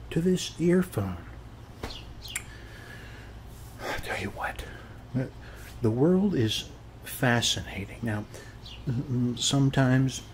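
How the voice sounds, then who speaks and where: A man playing a friendly, folksy suburban neighbor in a soft-spoken ASMR roleplay, rambling hesitantly with frequent little check-ins and drifting toward a whisper at times. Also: mid-range voice, slight background noise. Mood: musing, gentle. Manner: rambling, hesitant, folksy, often adding "okay?".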